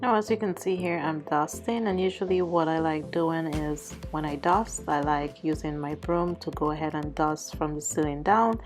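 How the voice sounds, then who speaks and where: Female vlogger, low register, a touch hoarse with a cold, chatty step-by-step household narration over music. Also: normal speed, hardly any pauses. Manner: conversational, explanatory. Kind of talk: casual cleaning vlog narration. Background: background music.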